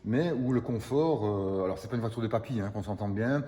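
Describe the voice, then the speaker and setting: Older man, low and fast, hesitant car-restorer talk, long reverb around him. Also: mellow. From Italian roots. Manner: hesitant, repeats words, frequent fillers. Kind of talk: casual interview, explaining a car.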